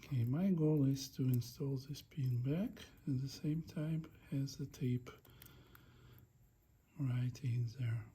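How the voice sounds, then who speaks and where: A man giving a casual hands-on demonstration, murmuring halting step-by-step remarks as he works, recorded on a phone. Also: medium pitch, mellow, a little hiss or hum. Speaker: hobbyist demonstrating a tape deck repair. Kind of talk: casual hands-on repair demonstration, talking while working. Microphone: phone held in front of him.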